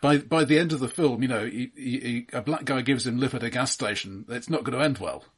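A man's voice: medium pitch, brisk, husky. A man co-hosting a casual film discussion, talking in an analytical way. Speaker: film podcast co-host.

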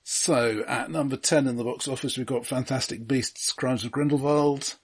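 Man, husky, hesitant and measured, dry understatement, casual film podcast talk. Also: medium pitch, steady tone.

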